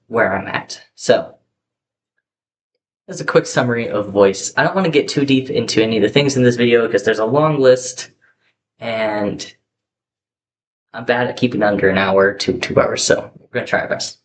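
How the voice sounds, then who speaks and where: Chatty young man, rambling vlog talk. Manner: chatty, candid, rambling with many fillers and self-corrections. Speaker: vlogger. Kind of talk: casual personal talk to camera. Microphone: poor camera microphone. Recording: faint background hiss, low-quality mic.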